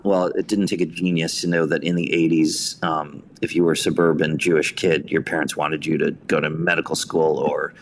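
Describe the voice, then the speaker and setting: Middle-aged American male, very low register, self-deprecating raconteur in an interview, some background noise. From New Jersey, United States. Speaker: podcast guest, theater director and writer. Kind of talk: anecdotal interview storytelling.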